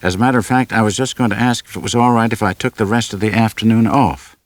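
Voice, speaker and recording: Man voicing an anxious young woman, pitched up. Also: quick pace, dry recording, lightly acted. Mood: uneasy, polite. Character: anxious, lovestruck woman of twenty-seven, pleading.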